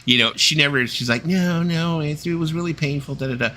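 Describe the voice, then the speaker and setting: A man's voice: fairly high pitch, brisk, bright, forceful tone. A man in his fifties hosting a casual stream, telling loose, rambling stories with some swearing. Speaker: stream host. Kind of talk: casual chat.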